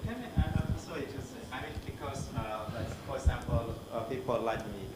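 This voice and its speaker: Halting man in echoey hall. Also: medium pitch, heavy background noise. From Cambodia. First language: Khmer. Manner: halting, repeats words, apologetic asides. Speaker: conference participant, scholar. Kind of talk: conference discussion. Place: conference hall.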